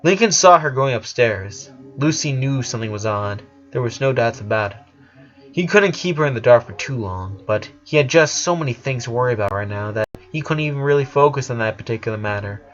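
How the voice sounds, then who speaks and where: A man's voice: mid-range voice, lively intonation, brisk, a bit bright, lo-fi sound, faint music behind. A man reading a story aloud as narration, sometimes stumbling over his words and correcting himself.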